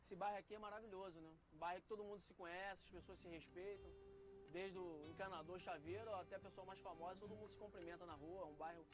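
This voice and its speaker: A man's voice: high-pitched, fairly even intonation, fast talker, music in the background. A middle-aged man talking casually in an interview, as a local tradesman. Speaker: neighborhood locksmith.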